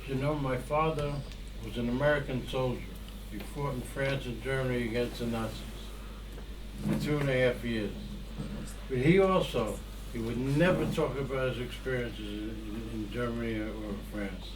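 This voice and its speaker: A man in the audience, speaking quietly and reflectively in casual remarks. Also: mid-range voice, moderate pace. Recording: distant voice, faint ambient noise.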